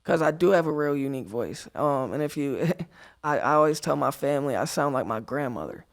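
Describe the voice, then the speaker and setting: A young man, a rapper guest, talking in a relaxed, lighthearted way with a raspy voice and frequent laughs. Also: a little fast. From New York State. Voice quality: raspy, fairly high voice. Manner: laughs often, easygoing, lighthearted. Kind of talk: casual interview chat.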